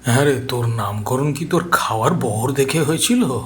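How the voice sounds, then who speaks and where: A man plays a mocking young scholar in an audio drama. His mid-pitched voice has a needling, teasing edge, with faint noise and ambience behind it. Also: normal speed, natural voice. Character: young male history researcher, needling, mocking friend. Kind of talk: audio story acting.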